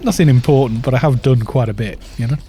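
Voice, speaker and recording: A man's voice: medium pitch, fast talker, mellow, faint music behind. A British man in his fifties chatting in a rambling way while streaming a game and reading out viewer chat. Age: 50s. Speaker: gaming streamer.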